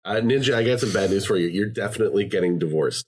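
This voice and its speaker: A man's voice: on the low side, fast talker, darkish tone, breathy edge. Rambling, filler-heavy male podcast host. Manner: rambling, many fillers and restarts. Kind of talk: casual chat.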